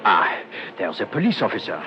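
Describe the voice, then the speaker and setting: An actor playing a methodical, dryly witty French police inspector in a radio drama, speaking formally and courteously. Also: male voice, fairly low pitch, wildly swooping pitch, normal speed. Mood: polite, urgent. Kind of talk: old-fashioned radio drama acting. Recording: dull lo-fi radio drama with noise.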